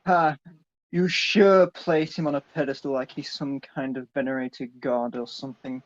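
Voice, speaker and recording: A man's voice: medium pitch, fairly even intonation, normal speed, lo-fi sound, natural voice. A man playing a stern, middle-aged businessman father, curt and commanding, in a dramatic story reading. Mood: angry, bitter.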